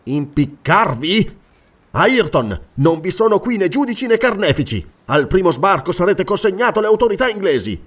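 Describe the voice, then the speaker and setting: A man's voice: sits high, big pitch swings, normal speed, fairly bright tone, recorded from a distance, pitched above the performer's own voice, full character voice. A man voicing a stern, earnest lord in an audiobook, formal and insistent as he questions someone. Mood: indignant, stern. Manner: formal, insistent, pleading for answers. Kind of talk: dramatic audiobook character reading.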